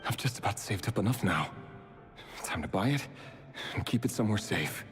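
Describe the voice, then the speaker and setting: An actor voicing a dry, grumbling man reading his private diary in a video game, in a measured, wry manner. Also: lowish voice, animated, natural voice. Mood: matter-of-fact. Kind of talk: video game voice-over monologue. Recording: long echoing reverb effect. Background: game music.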